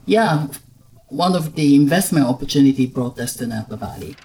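A middle-aged woman from Japan speaking English, talking as a guest in a casual interview, soft-spoken and hesitant, with many fillers. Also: a bass voice, lively intonation, normal speed. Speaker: guest, winery owner.

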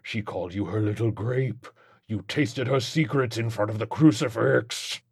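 A man voicing a boastful, sadistic demon in an audiobook, with a booming, taunting delivery. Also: creaky, breathy edge, dry recording, clean studio sound, heavily acted. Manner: slow, taunting, drawn-out delivery.

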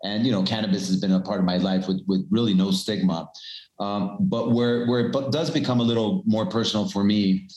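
A man from Colombia giving measured, businesslike answers as an interview guest. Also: lowish voice, steady tone, darkish tone. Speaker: guest, cannabis investor. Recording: roomy echo.

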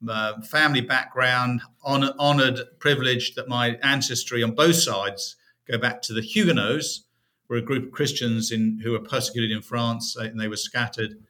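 A man's voice: medium pitch, fairly even intonation, moderate pace, sharp tone. A middle-aged British man from the UK, a podcast guest telling his faith story in a reflective way. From United Kingdom. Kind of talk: personal testimony in an interview.

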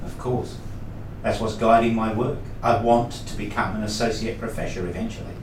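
A man's voice: lowish voice, steady tone, moderate pace, slight background noise, lightly acted, poor audio. An actor playing a curious, wry young male academic with articulate, bantering delivery in a radio play. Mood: friendly, inquisitive. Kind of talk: radio play dialogue and first-person narration.

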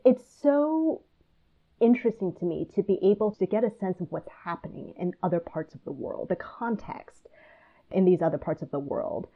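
A woman from Taiwan hosting a podcast, talking in a thoughtful, measured way. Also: lowish voice, animated. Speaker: podcast host. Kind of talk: casual conversation. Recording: tinny phone-like line.

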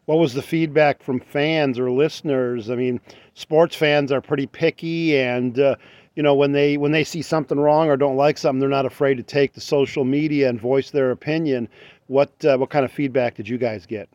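Male host interviewer, halting questions, dry muffled recording. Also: medium pitch, steady tone, a little mellow, dry recording. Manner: halting questions with many fillers.

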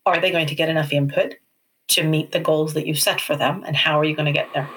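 A woman speaks as an expert guest, explaining ideas patiently and in order. She restarts a phrase now and then as she thinks. Her tone is calm and steady. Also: sits low, slight background noise. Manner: measured, explaining points step by step with restarts. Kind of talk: explanatory talk.

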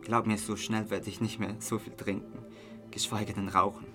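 A man's voice: on the low side, level tone, normal speed, over music, lightly acted. An actor with a Swiss German accent playing a drunk, remorseful young man in a radio drama. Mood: earnest, apologetic. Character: drunk, remorseful young man confiding in his best friend.

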